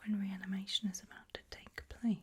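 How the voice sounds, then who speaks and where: A woman speaking in a gentle, breathy, unhurried whisper, performing an ASMR roleplay as a calm, caring mortician. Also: medium pitch. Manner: gentle, unhurried, soothing murmur. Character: calm, caring mortician tending the soon-to-be reanimated dead.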